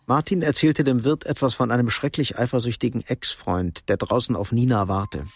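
An actor narrating a Cold War thriller radio drama in a sober, measured, literary manner. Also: male voice, mid-range voice, slightly rough, no echo. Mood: sober, suspenseful. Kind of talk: scripted narration.

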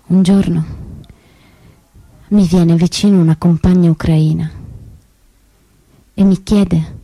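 Casting: woman playing a resolute survivor, soft dark tone, husky edge, solemn measured stage monologue. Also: fairly low pitch, no echo, exaggerated voice, low-quality recording. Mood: somber. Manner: solemn, deliberate, broken phrasing. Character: grave female Auschwitz survivor recalling deportation, resolute. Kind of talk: theatrical testimony monologue.

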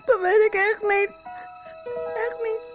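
A woman's voice: very high voice, steady tone, takes it slowly, over music. An actress playing an insecure, evasive schoolgirl who answers reluctantly in a radio soap. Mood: upset, defensive. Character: defensive, insecure teenage schoolgirl. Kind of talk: Dutch radio soap acting.

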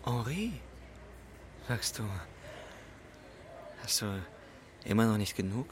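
A man's voice: lowish voice, big pitch swings, slow, background noise. A man acting a brooding, needling swindler in love, with an intense, probing manner, in a German radio play.

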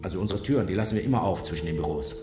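Gruff, deep-voiced pedantic official. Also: male voice, animated, racing, echoey room, loud music behind the voice. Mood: matter-of-fact. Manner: curt, dry, literal-minded. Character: gruff, pedantic ex-soldier turned tax official. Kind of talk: naturalistic radio drama acting.

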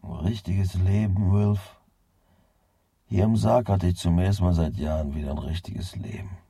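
A man's voice: deep voice, steady tone, moderate pace, vocal fry, dark tone, breathy edge, no room sound, studio quality, exaggerated voice. An actor playing an irritable, bitter working-class man in a radio drama, blunt and sweary in an exasperated way. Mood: agitated, bitter. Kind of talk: naturalistic radio drama acting.

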